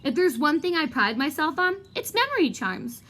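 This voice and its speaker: A vain male wizard professor from a storybook, acted out by a woman, evasive and self-important. The voice sits fairly high and swoops about, pompous yet hesitant. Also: very animated, normal speed, a bit bright. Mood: pompous, boastful.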